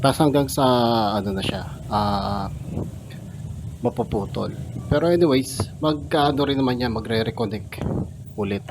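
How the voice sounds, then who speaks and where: A male vlogger gives an off-the-cuff gear review at a middling pitch. He talks casually and haltingly, often repeating a word before he finds the next. Clear vehicle noise runs behind his voice. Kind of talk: casual unscripted product review.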